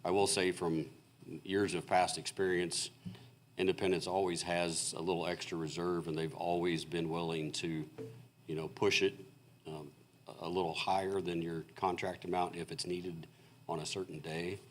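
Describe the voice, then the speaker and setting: A man presenting as a consulting engineer at a city board meeting, explaining in a halting way with many fillers while he is losing his voice. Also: low voice, little pitch movement, slight background noise. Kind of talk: technical presentation at a public meeting. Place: city council meeting room.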